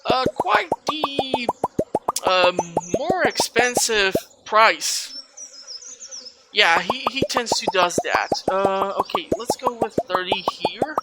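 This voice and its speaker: Let's play host, mid-pitched voice, casual rambling commentary, heavy background noise with clicks. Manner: rambling, thinking aloud. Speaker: gameplay host.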